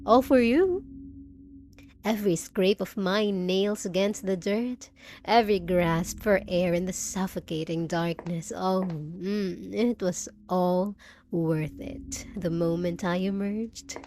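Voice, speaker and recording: Slow, possessive undead bride played by a woman. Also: fairly low pitch, steady tone, darkish tone. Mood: devoted, intense. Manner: theatrical, cooing, drawn-out endearments with sinister sweetness. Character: possessive undead bride, sweetly unhinged and menacing. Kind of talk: scripted roleplay audio drama monologue.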